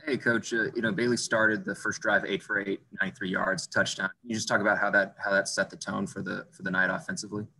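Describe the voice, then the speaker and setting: Guy reporter on video call, raspy creaky voice, talks quick. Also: on the low side, flat delivery, pushed and bright, rough recording. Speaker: sports reporter. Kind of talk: press conference question.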